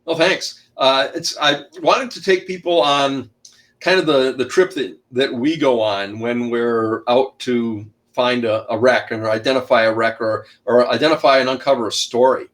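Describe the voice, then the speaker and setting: Booming middle-aged male author. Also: fairly even intonation, moderate pace. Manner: loud, booming voice, packs in lots of detail; rambling, frequent restarts and uh fillers. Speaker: author and shipwreck researcher, guest. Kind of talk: casual online interview.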